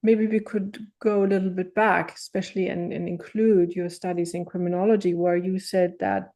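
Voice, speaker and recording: A woman with a mellow, warm tone hosts an interview and works her questions out aloud. She pauses and restarts as she reaches for the right words. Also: fairly low pitch, level tone. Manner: thoughtful, halting, many restarts while building a question. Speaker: podcast host.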